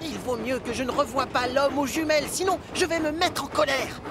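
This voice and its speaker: A woman voicing a cheeky, impulsive young steam locomotive in a children's cartoon dub, expressive and childlike. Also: high voice, a little fast, background noise, lightly acted. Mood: stubborn, cross. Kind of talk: animated children's series dub.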